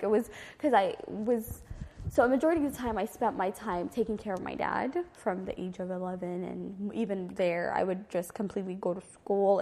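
A woman's voice: medium pitch, gravelly, a little mellow. A woman around thirty, from Ethiopia and raised in San Diego, answering interview questions in an informal, reflective, hesitant way. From Ethiopia, raised in San Diego from age nine. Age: 30s. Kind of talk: oral history interview, casual recollection. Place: community center room. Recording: long reverberant hall-like echo.

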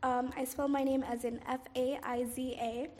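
A woman's voice: high-pitched, little pitch movement, normal speed, long reverb tail, a little hiss or hum. A woman in her twenties, born in Somalia and raised in Cairo, being interviewed for an oral history and recalling her childhood with many "um"s and "like"s. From Somalia, raised in Cairo, Egypt. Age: 20s. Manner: hesitant, frequent "um" and "like", soft laughs. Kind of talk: casual personal recollection.

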